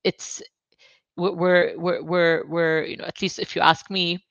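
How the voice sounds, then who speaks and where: A woman from Jordan, a venture fund director, answering interview questions about her career in an even, level way. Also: lowish voice, unhurried, no room sound.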